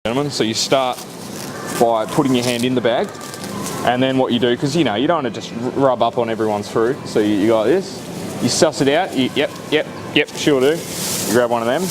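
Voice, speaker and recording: A man's voice: medium pitch, brisk. Cheeky young Australian man, noisy recording. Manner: jokey, cheeky, blokey. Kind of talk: casual mock-presenter vlog chat. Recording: very noisy handheld vlog audio.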